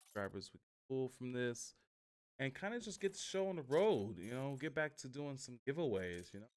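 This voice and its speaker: A man's voice: medium pitch, big pitch swings, moderate pace. A man chatting informally and in a rambling way to viewers as he streams a game. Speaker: live streamer. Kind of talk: casual gaming livestream chat.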